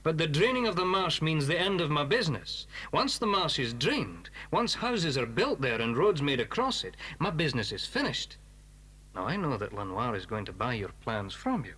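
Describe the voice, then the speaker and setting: An actor playing a calculating smuggler villain in a children's audio drama, smoothly courteous with an edge of menace. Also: male voice, mid-range voice, sharp tone, full character voice. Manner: courteous, unhurried persuasion with menace.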